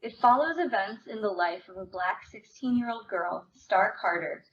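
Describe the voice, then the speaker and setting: A woman presents a scripted introduction in a formal, announcing manner. Her pitch is average. The live recording is slightly dull, with faint noise behind her. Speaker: presenter of staged readings.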